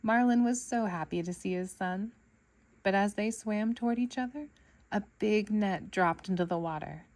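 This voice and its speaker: A woman storyteller reading a children's book aloud in an expressive narrating style for kids. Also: mid-range voice, moderate pace.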